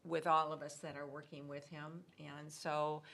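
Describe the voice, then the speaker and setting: A woman with a notably low, gravelly voice gives a committee report at a council meeting. She speaks loudly and warmly praises the staff. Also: level tone, moderate pace. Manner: loud, says so herself. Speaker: council member.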